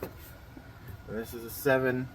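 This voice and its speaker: A man's voice: medium pitch, little pitch movement, slow, slight background noise. A young man chatting casually through his daily vlog plans, a little nasal and rambling with lots of fillers. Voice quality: slightly nasal. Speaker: vlogger.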